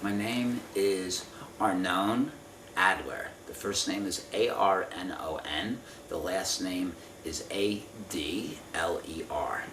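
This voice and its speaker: Low-voiced man in his fifties, roomy sound. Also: takes it slowly, a bit bright. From Israel. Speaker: interviewee giving family testimony. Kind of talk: oral history interview, recounting from memory. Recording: roomy, distant mic with faint noise.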